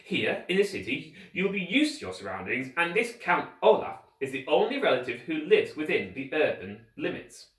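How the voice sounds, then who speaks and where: A man voicing a stiff, busy middle-aged banker in a children's storybook, formal and brisk in his explanations. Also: fairly high pitch, big pitch swings, fairly bright tone. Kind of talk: children's storybook character reading. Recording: roomy echo, distant microphone.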